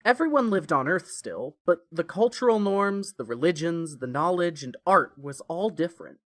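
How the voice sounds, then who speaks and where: A woman's voice: on the low side, expressive, moderate pace, dry recording, clean studio sound. A woman reading scripted fan fiction as the narrator of an audio story.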